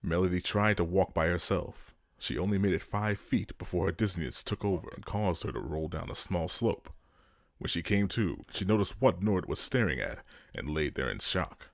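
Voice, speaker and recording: A man's voice: deep voice, lively intonation, normal speed, vocal fry, dry recording, clean studio sound. A man narrating a dramatic scripted story.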